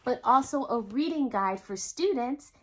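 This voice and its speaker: A woman with a fairly high, very animated voice reads a picture book to children. She hosts the storytime and talks straight to her young audience, often in an expressive way. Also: unhurried, a little hiss or hum. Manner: expressive, addresses children directly with questions. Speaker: host reading a children's picture book aloud. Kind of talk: storytime read-aloud with asides to young viewers.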